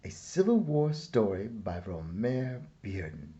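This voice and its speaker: A man narrating a children's storybook aloud in a measured, deliberate way with pauses. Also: fairly low pitch, sing-song, dark tone, dull audio. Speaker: storyteller reading a picture book aloud.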